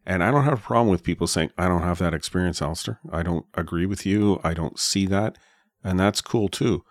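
A man's voice: deep voice, a little fast, no echo. A man co-hosting a podcast, chatting casually and addressing listeners directly. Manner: friendly, wraps up the show addressing listeners. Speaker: podcast co-host.

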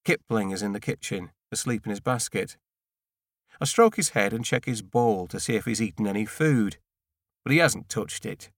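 A man reading a first-person novel as an audiobook narrator, measured and deadpan. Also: mid-range voice, no echo, studio quality.

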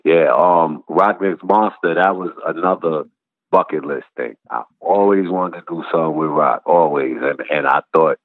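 Over a narrow phone-like line comes a man with a very deep voice and a Brooklyn accent. He talks as an interview guest in a casual, slangy way, telling stories and repeating phrases for emphasis. The sound is close and dry. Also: normal speed, dry recording. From Brooklyn, New York. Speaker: guest, rapper.

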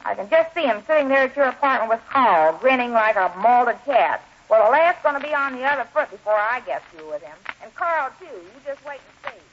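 A scatterbrained, indignant sitcom wife with a high voice that swings up and down. She bosses people about and mangles her sayings, on a dull old radio recording. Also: expressive, brisk, hardly any pauses. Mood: scolding, defiant. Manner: indignant, muddles common sayings. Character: scatterbrained, bossy, malaprop-prone indignant wife and aunt. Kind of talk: 1940s radio sitcom acting. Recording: muffled, noisy old-time radio recording.

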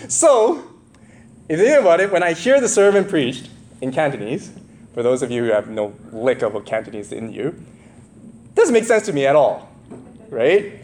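Male preacher, slightly high voice with big pitch swings, explaining scripture and checking in with listeners, light noise behind. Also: sing-song, moderate pace, long pauses, a little mellow. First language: English. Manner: asks the congregation questions, frequent check-in tags like "right?" and "okay?". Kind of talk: expository sermon.

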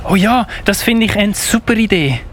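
An actor playing an excitable, easily startled boy in a live radio play, with a Swiss accent. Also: medium pitch, normal speed, a little hiss or hum, lightly acted. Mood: eager.

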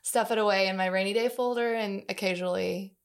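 A woman's voice: medium pitch, fairly even intonation, fast, smooth. A woman being interviewed as an employee, chattily telling informal stories about working life. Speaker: interview guest, long-time company employee. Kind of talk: casual workplace storytelling.

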